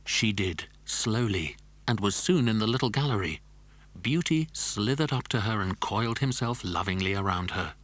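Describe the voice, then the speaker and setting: A man's voice: on the low side, fairly bright tone, breathy edge, no echo. A man narrating a scripted children's adventure story. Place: dry studio.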